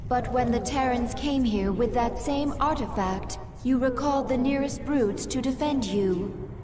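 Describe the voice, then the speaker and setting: A woman voicing a dutiful female alien advisor in a video game, reporting in a calm manner. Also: on the high side, a little slow, music in the background, lightly acted. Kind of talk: video game cutscene voice acting.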